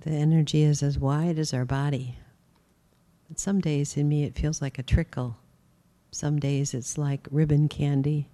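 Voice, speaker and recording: A woman teaching a guided meditation, speaking gently and unhurriedly with long pauses. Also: low-pitched, fairly even intonation.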